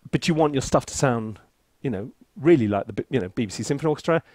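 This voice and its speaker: Animated man, wide-swinging pitch. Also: fast, no echo. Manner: animated, self-mocking, theatrical exclamations. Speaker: composer and reviewer, video host. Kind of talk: casual chatty software review.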